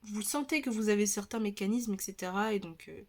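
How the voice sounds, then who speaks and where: A woman with a French accent giving a relaxed tarot reading, talking in a chatty, hesitant way with many restarts and a slightly stuffy nose. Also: mid-range voice, brisk. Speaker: tarot reader. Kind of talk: casual tarot reading video.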